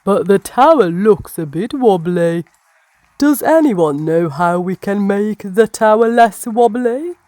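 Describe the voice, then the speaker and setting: Woman as slow-talking, fatherly pig teacher. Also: medium pitch, lively intonation, dark tone, no room sound. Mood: instructive. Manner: instructive, deliberate, encouraging. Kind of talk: children's storybook read-aloud.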